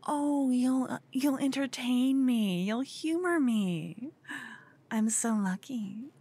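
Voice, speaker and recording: A woman's voice: high-pitched, lively intonation, slow, dark tone, exaggerated voice. A woman voicing a cute, obsessed human fangirl in an ASMR roleplay, stammering, flustered and brazen, often slipping toward a whisper. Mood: playful, smitten, then smitten, breathy. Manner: stammering, breathy, dropping into whispers. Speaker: ASMR voice performer.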